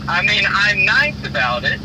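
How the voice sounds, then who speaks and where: Young guy trucker on a phone interview, kinda high voice, halting, really loud road noise. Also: sing-song. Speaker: rookie truck driver, interviewee. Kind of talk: phone interview, casual storytelling. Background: vehicle noise.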